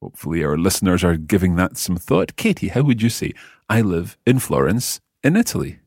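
Male language teacher, low husky voice, lively intonation, dry close sound. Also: dry recording. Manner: slow, patient prompting with pauses. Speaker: language course host. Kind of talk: guiding a listening lesson.

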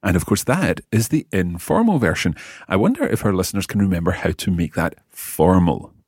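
Male language teacher, low husky voice, lively intonation, dry close sound. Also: dry recording. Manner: slow, patient prompting with pauses. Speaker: language course host. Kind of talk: guiding a listening lesson.

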